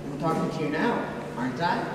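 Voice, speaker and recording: A man's voice: a little high, lively intonation, fairly quick, lightly acted. A young man acting a defensive, flippant husband bickering in a school stage play, sarcastic and dismissive. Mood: irritated, sarcastic. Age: teen or young adult. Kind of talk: high school one-act stage play. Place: large indoor hall, stage performance. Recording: distant echoey hall, noisy.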